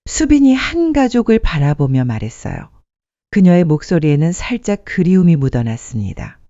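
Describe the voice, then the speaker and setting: Mellow, expressive female storyteller. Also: fairly low pitch, normal speed, no echo, muffled recording. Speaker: narrator of a story channel. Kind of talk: scripted sentimental storytelling narration.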